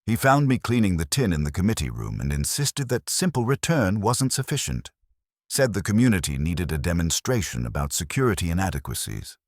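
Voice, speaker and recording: A man's voice: low voice, sing-song, a little fast, no echo, clean studio sound, full character voice. A man voicing a self-justifying male council official in a mystery audiobook, stiff, bureaucratic and formal. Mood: relieved. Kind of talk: audiobook mystery character dialogue.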